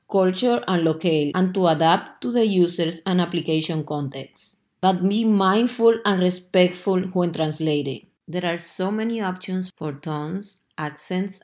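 A woman's voice: on the low side, a little mellow. A woman hosting a short podcast briefing, speaking from a script as she presents. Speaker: podcast host.

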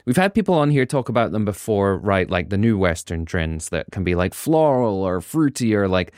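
A man's voice: lowish voice, very animated, moderate pace, darkish tone, dry recording, studio quality. A man hosting a casual interview, asking questions conversationally and ending them with "right?". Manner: conversational, thinks aloud, many "right?" tags. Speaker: interview host.

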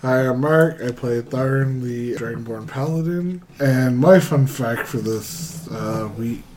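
A man's voice: medium pitch, slow pace, a little mellow, a little hiss or hum. A man in his late twenties, a tabletop game player, chatting in an easygoing, self-mocking way. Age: 20s. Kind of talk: casual chat.

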